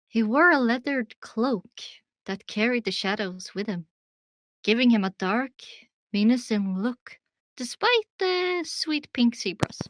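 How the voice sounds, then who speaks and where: Bright, high-voiced woman narrator. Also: animated, a little slow, no echo. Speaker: voice actor, narrator. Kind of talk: narration takes with casual banter.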